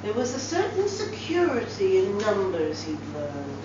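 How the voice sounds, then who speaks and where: A woman's voice: medium pitch, wildly swooping pitch, measured pace. A woman giving a dramatic reading of a short story as an actor would. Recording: very echoey room, distant mic, slightly dull, faint noise.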